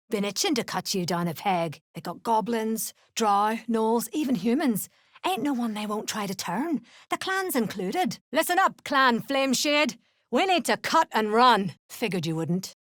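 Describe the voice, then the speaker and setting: Fantasy game duergar fighter, female, voiced by an actress: blunt, menacing, mid-pitched, dry studio sound. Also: no echo, natural voice. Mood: sharp, threatening. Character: tough, foul-mouthed female duergar mercenary clan fighter, threatening. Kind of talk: fantasy game character acting.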